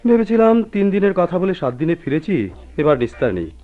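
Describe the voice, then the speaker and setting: Animated jovial friend, muffled recording. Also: male voice, sits high, soft background music. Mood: teasing, amused. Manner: jovial, teasing, brisk familiarity. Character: hearty, teasing married friend, a forest officer. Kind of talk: Bengali radio drama acting. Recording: muffled old radio drama recording.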